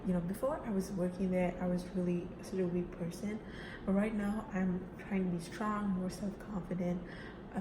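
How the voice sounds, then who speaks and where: Chatty young woman in an echoey room. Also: on the low side. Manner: casual, chatty, with frequent fillers like "right?" and "you know". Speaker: student giving a class speech. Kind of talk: personal self-introduction speech. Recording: echoey room with background noise.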